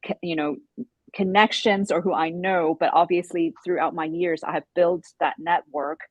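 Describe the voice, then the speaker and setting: A woman's voice: lowish voice, steady tone, moderate pace, no echo, lo-fi sound. A woman from Hong Kong, a modest guest on a video call interview who laughs easily.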